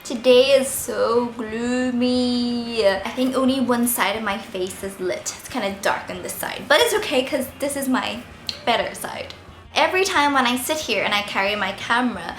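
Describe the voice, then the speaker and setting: A woman in her twenties with a squeaky voice made a little husky by a sore throat, chatting playfully while answering viewer questions at home. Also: fairly bright tone, echoey room, a little hiss or hum. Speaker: vlogger. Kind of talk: casual Q&A vlog. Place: indoors at home, seated by window light.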